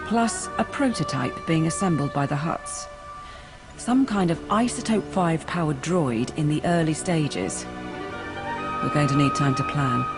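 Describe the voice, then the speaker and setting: Brisk, wry intelligence operative. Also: medium pitch, expressive. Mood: businesslike. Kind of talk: video game cutscene acting. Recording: music behind.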